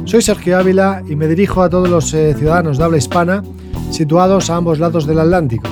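A man's voice: fairly high pitch, steady tone, fast, music in the background. A man hosting a trading podcast, opening with a formal scripted welcome and then interviewing a guest. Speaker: podcast host.

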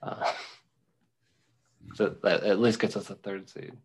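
A young man co-hosting a podcast, chatting casually about football in a rambling, halting way with many ums and restarts. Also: fairly low pitch, fairly even intonation, husky. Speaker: podcast co-host. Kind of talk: casual sports chat.

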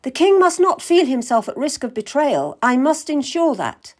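A woman reading an audiobook voices a pompous, patronizing older male cardinal in a smooth, condescending, deliberate way. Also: high voice, very animated, pitched above the performer's own voice. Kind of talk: audiobook character voice.

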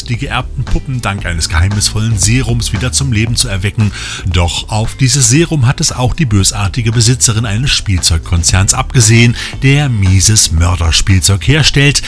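A man presenting scripted film and release news as a podcast host, with wry asides addressed to the listeners. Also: fairly low pitch. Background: music under the voice.

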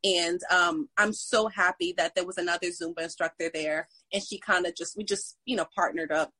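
Husky, close-sounding woman telling stories. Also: fairly low pitch, a little fast, no echo. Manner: animated, laughing storyteller. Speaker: fitness instructor. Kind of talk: casual storytelling chat.

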